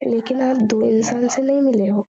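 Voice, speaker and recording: A woman's voice: fairly high pitch, fairly even intonation, normal speed, creaky, crowd noise. A young woman chatting casually and personally on a phone call, often repeating her phrases, in a lo-fi recording.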